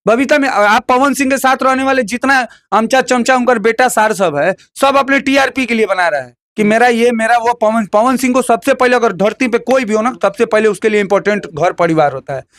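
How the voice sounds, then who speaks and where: A man's voice: very high-pitched, brisk, hardly any pauses, a bit bright, no echo. A man with a Bhojpuri accent giving heated opinions in an interview, emphatic and combative, repeating phrases and firing rhetorical questions.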